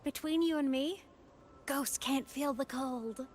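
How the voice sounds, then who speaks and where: A woman voicing a young ghost girl in a video game, a former student who speaks gently, with a wistful and teasing manner. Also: high voice, slow pace, slight background noise. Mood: playful, confiding.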